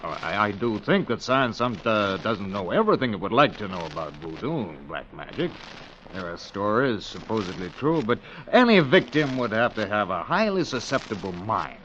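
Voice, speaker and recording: A man's voice: fairly low pitch, very animated, moderate pace, a bit bright. A man playing an earnest, polite doctor in a 1940s radio drama, hesitant and stammering over his words. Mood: troubled, insistent. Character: earnest, anxious doctor, well-bred man of science. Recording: dull, noisy vintage radio recording.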